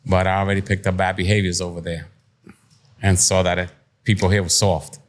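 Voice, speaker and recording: A man's voice: low voice, flat delivery, fairly quick, a little hiss or hum. A middle-aged man from Puerto Rico talking candidly as an interview guest, plain-spoken, streetwise and reflective. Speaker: podcast guest, entrepreneur. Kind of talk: candid conversational interview, personal storytelling.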